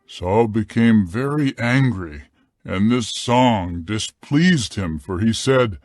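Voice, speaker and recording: A man narrating a dramatized scripture account in a measured, deliberate storytelling style. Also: fairly low pitch, expressive, no echo.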